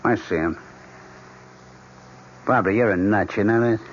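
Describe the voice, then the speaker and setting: An actor playing a selfish, impatient husband, brusque and hectoring, in a vintage radio drama. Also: male voice, on the low side, normal speed, natural voice. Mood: scornful. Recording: dull, noisy old radio recording.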